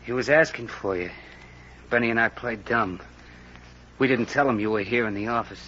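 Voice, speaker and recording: A man's voice: medium pitch, normal speed, pushed and bright, close to an everyday voice. An actor playing a menacing, sardonic male racketeer in an old-time radio crime drama, talking in a tough, slangy sneer. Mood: quiet menace. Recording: noisy, dull old radio recording.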